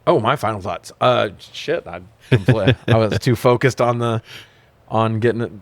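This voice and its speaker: Man, slightly deep, dry close recording, informal profane banter, podcast co-host. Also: measured pace, dry recording. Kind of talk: casual movie-review chat.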